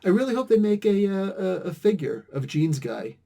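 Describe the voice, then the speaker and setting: A man's voice: high voice, normal speed, gravelly. A man with a New Jersey accent, hosting a podcast in casual chat and laughing often. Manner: laughs often, animated banter. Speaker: podcast host.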